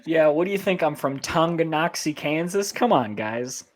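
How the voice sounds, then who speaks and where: A man's voice: a little high, animated, moderate pace. An American man co-hosting a comedy podcast, teasing sarcastically in casual chat.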